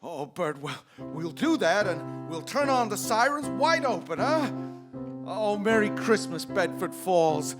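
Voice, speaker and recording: A man's voice: high voice, very animated, measured pace, over music. An actor playing an overjoyed small-town husband and father in a radio drama, stammering and exclaiming. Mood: elated. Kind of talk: old-time radio drama acting.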